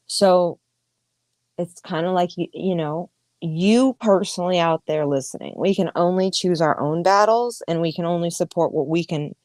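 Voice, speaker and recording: A woman's voice: on the low side, darkish tone, no room sound. A woman in her thirties hosting a podcast, talking candidly and emphatically in a casual livestream monologue, with frequent swearing. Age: 30s. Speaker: podcast host.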